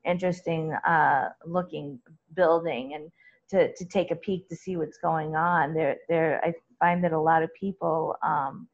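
A talkative woman with a loud voice, chatting as an interview guest and laughing often as she talks. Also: low voice, steady tone, a little slow, highs cut off. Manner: chatty and laughing, with many restarts. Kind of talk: casual conversational interview.

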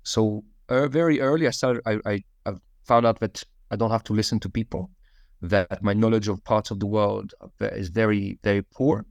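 A man, a podcast guest, answering interview questions in a relaxed, storytelling way with frequent fillers. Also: fairly low pitch, normal speed, gravelly, dry recording.

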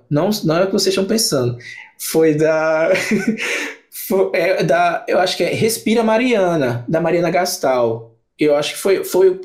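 Casting: young man, somewhat high-pitched, rambling and casual, podcast guest. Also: a little mellow. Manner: rambling, many restarts and fillers. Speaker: book channel creator, podcast guest.

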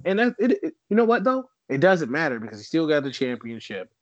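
A man's voice: on the high side, very animated, fairly quick, no echo, lo-fi sound. A man co-hosting a podcast in casual chat, blunt and opinionated, swearing freely and mocking bits he dislikes. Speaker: podcast co-host.

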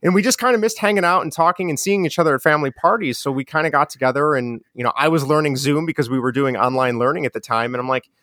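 A man with a strong Chicago accent, talking as a guest in a relaxed, rambling way with many restarts. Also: a little high, fast talker, hardly any pauses, dry recording, studio quality. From Chicago area. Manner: mellow, rambling with frequent restarts. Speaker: guest, educator and podcaster. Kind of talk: casual conversational interview.

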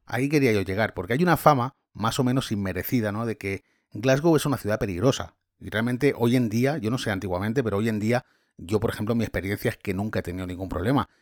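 A man hosting a podcast conversation, relaxed and chatty, often adding tag questions. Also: fairly low pitch, fast, dry recording, clean studio sound. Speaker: podcast host and tour guide. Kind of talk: casual conversation.

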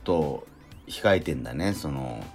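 A man's voice: rumbling low, expressive, measured pace, darkish tone, faint music behind. A man hosting a casual radio chat, agreeable, often chiming in and asking follow-up questions. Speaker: radio show host and actor.

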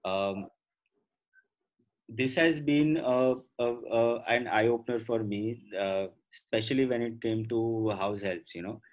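Indian man answering haltingly, mid-pitched. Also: rough recording. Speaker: podcast guest. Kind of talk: casual interview answers.

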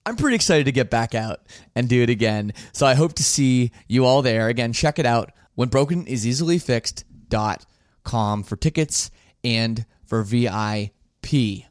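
A man's voice: mid-range voice, animated, normal speed, no echo, studio quality. A man hosting a casual podcast, upbeat and rambling, often restarting his sentences. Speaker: podcast host.

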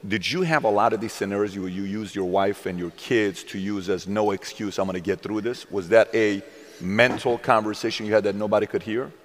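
A man's voice: on the low side, a little fast, big-room sound, a little hiss or hum. A man interviewing a guest, asking eager questions. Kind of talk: interview in an archived clip.

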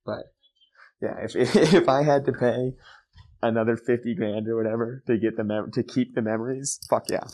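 A man's voice: medium pitch, fairly even intonation, normal speed, a little mellow, rough recording. A young man hosting a casual podcast, talking animatedly and swearing freely. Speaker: podcast host. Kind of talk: casual chat.

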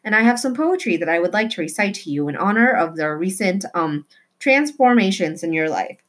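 A woman's voice: fairly low pitch, animated, a little fast, a bit bright. A woman reads as a storybook great-aunt with a flair for drama. She is formal and declamatory, as if every sentence were fit for recital.

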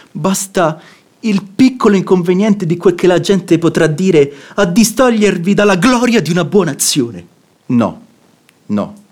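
An actor playing a frank, reasonable, righteous gentleman in a radio drama, arguing eloquently and emphatically. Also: fairly high pitch. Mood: indignant. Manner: eloquent, emphatic, rhetorical questioning. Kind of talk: theatrical Italian radio drama acting.

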